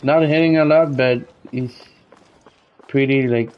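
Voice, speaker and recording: A man's voice: medium pitch, fairly even intonation, measured pace. A man making offhand, mumbled remarks while playing a game. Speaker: gamer. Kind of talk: casual gameplay remarks. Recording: muffled, game music behind.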